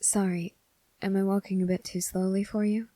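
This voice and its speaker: A woman playing a kind, earnest young male cadet in an ASMR roleplay, speaking softly and politely to the listener with gentle reassurance. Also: mid-range voice, little pitch movement, normal speed, dry recording, close to an everyday voice.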